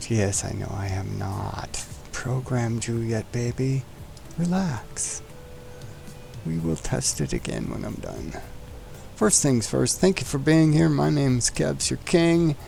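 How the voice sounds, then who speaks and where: A man's voice: medium pitch, gravelly, mellow. A man hosting a flight simulator session, chatting casually, joking and talking to the aircraft as he sets it up. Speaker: flight sim streamer host. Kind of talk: casual chat while flying a simulator.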